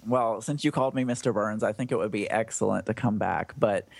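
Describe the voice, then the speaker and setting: A man guesting on a podcast, making joking, deadpan quips. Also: fairly low pitch, a little fast, vocal fry, no echo. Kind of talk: casual podcast chat.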